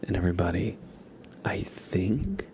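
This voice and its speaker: A man hosting a casual ASMR livestream, speaking in a soft, hushed, whispery murmur close to the microphone. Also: low voice, very animated, unhurried, noisy background.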